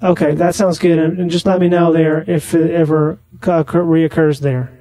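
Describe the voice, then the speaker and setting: High-pitched man, naive rambling caller. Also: little pitch movement, warm tone, no room sound. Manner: naive, rambling, slow on the uptake. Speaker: prank caller on a comedy podcast. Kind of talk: deadpan prank phone call.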